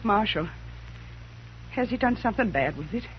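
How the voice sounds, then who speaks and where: A woman's voice: mid-range voice, lively intonation, moderate pace, very noisy, lightly acted. An actress playing a worried, weary frontier mother of a runaway boy, fretful and pleading, in a 1950s radio drama. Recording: dull lo-fi 1950s radio broadcast.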